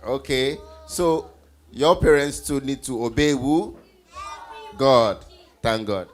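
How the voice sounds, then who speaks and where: A man's voice: mid-range voice, takes it slowly. A man hosting an interactive children's Bible teaching session on stage, encouraging and instructive, often prompting with questions and repeating answers. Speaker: host leading children's Bible trivia. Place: event stage before a group of children.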